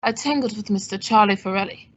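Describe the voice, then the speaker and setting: A woman playing a cool, wry gentleman sleuth in old-time radio style, with an understated, dry wit. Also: medium pitch, fast, lightly acted. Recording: dull lo-fi audio.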